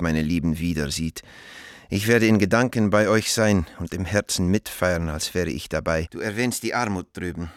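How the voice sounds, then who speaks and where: A man's voice: sits low, no room sound, clean studio sound. An actor playing a witty, self-mocking art dealer in his forties, reading his letters eloquently in a radio drama. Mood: affectionate. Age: 40s.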